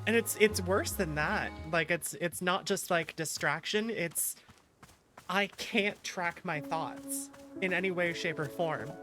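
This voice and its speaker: A streamer chatting casually and candidly while playing a game, in a halting way. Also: medium pitch. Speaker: streamer, host. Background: music.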